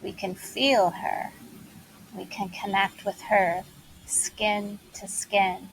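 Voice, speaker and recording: A woman leading a guided meditation in a slow, soothing, inviting way. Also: medium pitch, flat delivery, a little hiss or hum, low-quality recording. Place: Bali, Indonesia.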